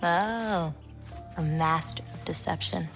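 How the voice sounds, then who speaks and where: A woman playing a gentle, self-reliant teenage giantess in a video game, speaking in a natural, expressive, conversational way. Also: fairly low pitch, slow pace, vocal fry, soft background music. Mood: teasing.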